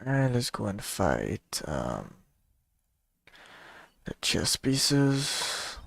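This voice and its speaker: A man's voice: lowish voice, huge pitch swings, extremely slow, creaky, a bit bright, no room sound. A man giving casual, laid-back gameplay commentary, talking half to himself as he plays. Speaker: gaming streamer.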